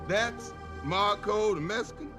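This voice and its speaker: A man's voice: high-pitched, unhurried. An actor in a film playing a callous, folksy Old West gunman with a drawl. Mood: callous, gloating. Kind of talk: film dialogue. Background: clear background music.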